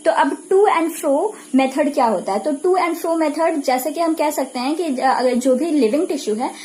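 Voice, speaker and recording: An Indian woman teaches in a distinctly high-pitched voice. She explains step by step in a didactic lecture style, asking questions and answering them herself. Steady noise is clearly audible behind her. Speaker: physiotherapy lecturer. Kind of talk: explanatory lecture mixing Hindi with English technical terms.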